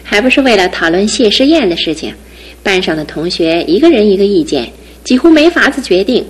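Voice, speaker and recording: A woman's voice: mid-range voice, big pitch swings, husky. An actress voicing an independent, teasing young female student about to graduate, in a studio radio drama. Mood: playful teasing. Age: young adult. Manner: teasing and brisk, then tender. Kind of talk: 1960s-70s studio radio drama acting.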